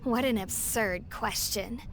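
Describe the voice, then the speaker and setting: A woman's voice: fairly high pitch, takes it slowly, slight background noise, rough recording. A woman playing a scornful female cult convert in a game, haughty and full of reproach. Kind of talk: anime game voice acting. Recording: music behind the voice.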